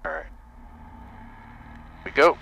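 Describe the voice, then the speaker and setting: A man's voice: slow pace, dull audio. Clipped male pilot over faint engine noise. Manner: clipped, self-coaching short phrases. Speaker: private pilot. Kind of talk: casual cockpit commentary and radio calls. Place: small aircraft cockpit in flight. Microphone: aviation headset. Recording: steady engine noise in cockpit. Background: aircraft engine drone.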